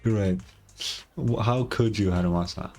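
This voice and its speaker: A man with a fairly low voice gives casual let's-play commentary. He is informal and wry, reacting to the game as its music plays faintly behind him. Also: a little slow, darkish tone. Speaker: game streamer.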